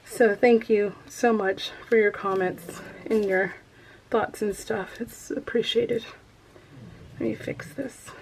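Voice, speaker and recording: A woman's voice: mid-range voice, darkish tone, husky, rough recording. Casting: American female craft vlogger, mid-range voice, informal and giggly, rambling delivery with self-deprecating asides and unfinished sentences. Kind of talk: casual chat to viewers while showing a craft project.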